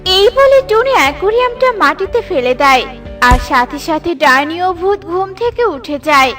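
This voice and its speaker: A woman narrates a children's cartoon as a storyteller. Her scripted delivery has a bright tone, and faint music plays under it. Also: very high-pitched, animated, moderate pace.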